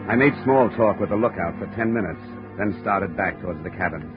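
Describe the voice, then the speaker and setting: An American actor playing a tough, wry investigator who narrates and argues in a hard-boiled radio drama style. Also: male voice, low-pitched, brisk, music in the background, lightly acted. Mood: tense narrating. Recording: muffled 1950 radio recording.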